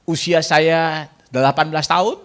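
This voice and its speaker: A man's voice: on the high side, steady tone, moderate pace, clear, resonant tone. A man preaching a sermon in a jokey way, bantering with the congregation and mixing in English phrases. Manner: jokey, banters with the congregation and asks them to raise hands.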